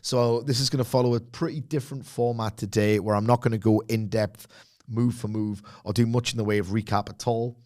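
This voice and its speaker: Hoarse guy cohosting a chatty podcast, jokey, lots of ums. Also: fairly low pitch, steady tone, brisk, darkish tone. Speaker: podcast co-host. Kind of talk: casual podcast chat.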